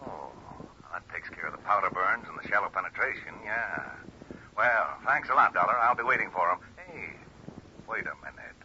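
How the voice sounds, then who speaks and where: A skeptical police sergeant from a radio crime play. His voice is deep and very forceful, lurching in pitch as he fires off blunt questions. He is gruff throughout. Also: animated, moderate pace, full character voice. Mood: satisfied, then puzzled. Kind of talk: old-time radio drama acting. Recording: muffled, faintly noisy old radio recording.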